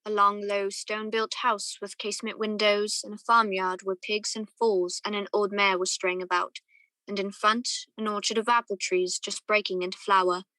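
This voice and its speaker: A woman's voice: medium pitch, little pitch movement, normal speed, pushed and bright, dry recording, natural voice. A young woman playing a wistful, courteous man who narrates his memories lyrically in a radio play. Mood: admiring, reflective. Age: young adult. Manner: lyrical, reflective storytelling. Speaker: student actor.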